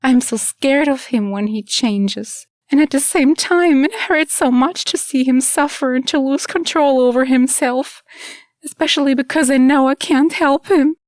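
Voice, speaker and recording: Woman acting a frightened, anguished young woman, raised pitch. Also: a little slow, no room sound. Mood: anguished, scared. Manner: emotional, anguished first-person telling. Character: frightened, grieving young woman watching a loved one suffer. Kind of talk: dramatic audiobook character reading.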